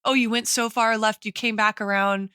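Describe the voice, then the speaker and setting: Woman podcast cohost, bright n crisp voice, dry sound, academic but tentative, thinking out loud. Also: fairly high pitch, fairly even intonation, normal speed, no room sound. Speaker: podcast co-host. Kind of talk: analytical conversational discussion.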